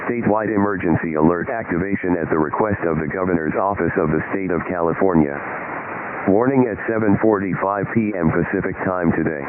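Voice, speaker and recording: Low-voiced man acting as emergency announcer, tinny radio. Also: background noise, lightly acted. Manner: measured, official, impersonal. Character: grave male emergency alert announcer. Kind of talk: formal scripted emergency broadcast reading.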